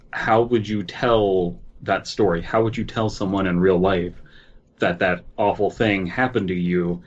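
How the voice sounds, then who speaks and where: Deep-voiced man, slightly lo-fi recording. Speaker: podcast co-host. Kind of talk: casual critique and advice. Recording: slightly dull, lo-fi microphone sound.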